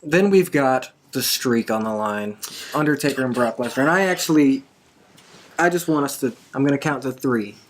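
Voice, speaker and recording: Male podcast host, average pitch, conversational, thinks out loud while sharing predictions. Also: expressive, normal speed, slight background noise. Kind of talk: casual wrestling prediction talk.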